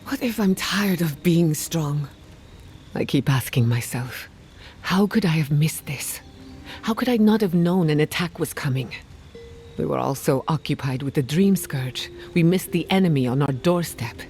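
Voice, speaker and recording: Earnest low-voiced woman scholar. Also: lively intonation, normal speed, darkish tone, faint music behind. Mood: grieving. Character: earnest, grieving woman scholar, burdened but resolute. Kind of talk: dramatic video game dialogue.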